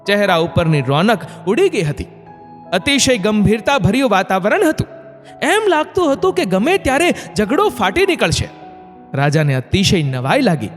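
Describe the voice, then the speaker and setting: A man narrating a scripted moral story in an expressive, dramatic way. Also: high-pitched, normal speed. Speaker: narrator of motivational stories. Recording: long hall reverb, music bed. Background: background music.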